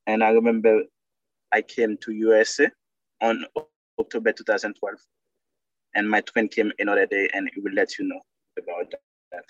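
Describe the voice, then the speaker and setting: Haitian man, halting English, dull dry audio. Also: fairly low pitch, monotone, no echo. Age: 30s. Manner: earnest, halting non-native English with simple phrasing. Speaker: doctoral student guest. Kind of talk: podcast interview.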